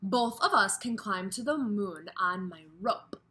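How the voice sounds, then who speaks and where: Storybook fox played by a woman: male, scheming, excitable, emphatic, bright and swooping in pitch. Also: unhurried. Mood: excited. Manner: emphatic, eager, animated.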